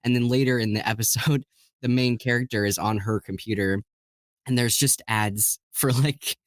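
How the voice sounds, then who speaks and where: A man talks casually as a guest on a podcast, with an average-pitched voice and very little room sound. He rambles conversationally and slips into quoted lines as he tells anecdotes. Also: fairly even intonation, moderate pace, no room sound. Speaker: podcast guest, voice actor and narrator.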